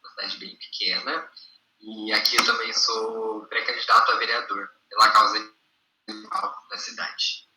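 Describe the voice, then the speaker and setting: Earnest young man guest, low-pitched, roomy sound. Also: flat delivery, normal speed, bright, forceful tone, recorded from a distance, slight background noise. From interior of São Paulo state, Brazil. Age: 20s. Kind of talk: casual interview answers.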